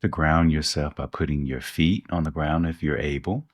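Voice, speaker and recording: A man co-hosting a podcast, leading a guided meditation with soft, slow instructions and long pauses. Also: extremely low pitch, fairly even intonation, dry recording, dull audio.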